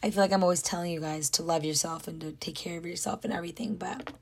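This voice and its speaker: A young woman hosting a podcast, talking candidly and rambling in an unscripted reflective monologue, her voice breathy and sometimes dropping to a whisper. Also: fairly low pitch, fairly even intonation, fast. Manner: candid, rambling, many "like" and "you know". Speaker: podcast host.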